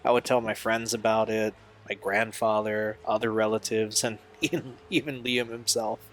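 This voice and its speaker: An actor playing a troubled young man in an audio drama, speaking in an earnest, halting, confessional way. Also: on the low side. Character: Steve, troubled young man recalling a painful family past.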